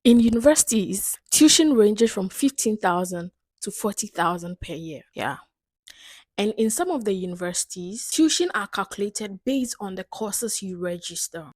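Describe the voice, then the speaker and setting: A woman's voice: mid-range voice, expressive, moderate pace, darkish tone, no echo. A woman with a Ghanaian accent giving an explanatory talk as a video host, making her points emphatically and repeating them. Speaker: video host giving advice to international students.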